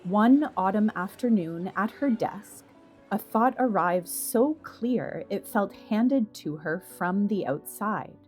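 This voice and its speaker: A woman reading a scripted literary story as narrator, in a measured, reflective manner. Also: medium pitch, expressive, a little mellow, soft background music.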